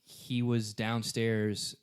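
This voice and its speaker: Slangy young American man, lowish voice. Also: monotone, extremely slow, clean tone. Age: young adult. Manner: informal, slangy, sweary. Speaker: podcast co-host. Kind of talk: casual chat and anecdotes.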